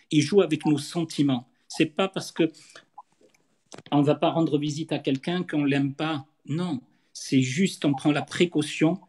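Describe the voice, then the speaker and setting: A man's voice: medium pitch, darkish tone, breathy edge. A man speaking as a doctor in an interview, earnestly urging listeners to stay home. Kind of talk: interview guest giving health advice.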